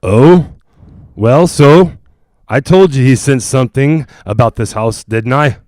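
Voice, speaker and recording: A man playing a solemn, earnest psychic ghost hunter, formal and halting, in a 1940s-style radio drama. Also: mid-range voice, a little mellow, no echo, clean studio sound, natural voice. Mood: unruffled. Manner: formal, measured, halting, grave.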